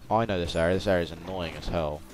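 A man's voice: low-pitched, fast, darkish tone. A young man giving casual, rambling, playful let's-play commentary, often repeating his words. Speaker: gaming video host. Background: video game music and sounds.

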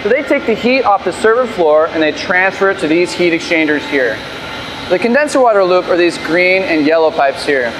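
A man's voice: high-pitched, animated, normal speed, noisy background. Plain-spoken male technician. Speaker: facilities technician. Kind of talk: explaining equipment.

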